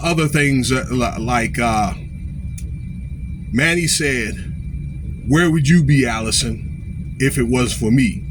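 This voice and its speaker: A man giving informal, unscripted commentary in a halting way, often checking in with short tags like okay and all right. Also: mid-range voice, background noise. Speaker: online commentator.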